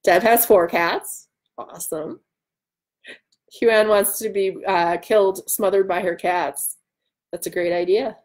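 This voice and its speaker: Woman hosting a casual livestream at home, kinda loud n excitable, chats with commenters. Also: medium pitch, takes it slowly, pauses often. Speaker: author hosting a live stream. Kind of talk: casual live chat, answering viewer comments. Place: room at home by a large window.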